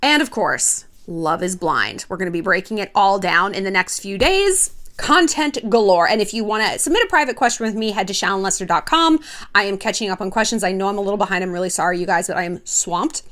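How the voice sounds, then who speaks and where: A woman's voice: mid-range voice, lively intonation, a little fast, a bit bright. A woman hosting her own video, chatting casually and gossipy to camera in a sassy, irreverent way with some swearing. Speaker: host of an online video channel.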